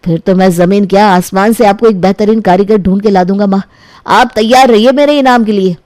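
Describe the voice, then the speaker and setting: A woman's voice: mid-range voice, a little fast, a little mellow, no echo, lightly acted. Woman as young male suitor, earnest, coaxing, eager, storybook dialogue, close dry sound. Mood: hesitant, eager. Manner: coaxing, reassuring. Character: earnest, pleading young man eager to marry.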